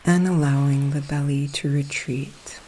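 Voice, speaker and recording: A woman guiding a relaxation meditation in a soothing, hushed, unhurried manner, with long pauses between phrases. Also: deep voice, low-quality recording. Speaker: guided meditation coach. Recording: steady ocean surf noise behind. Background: sea waves.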